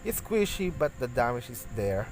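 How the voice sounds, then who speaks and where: A man giving practice play-by-play commentary on an esports match, halting with frequent restarts and bursts of excitement on big plays. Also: medium pitch, music in the background, poor audio. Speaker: esports caster.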